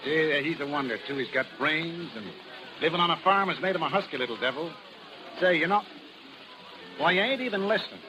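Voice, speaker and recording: An actor playing a blunt, slangy, middle-aged American sideshow boss in 1930s radio style. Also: male voice, a little high, animated, a little fast, music in the background, lightly acted. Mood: impatient. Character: gruff hard-boiled sideshow boss, devoted father of grown son. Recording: noisy old 1930s radio recording.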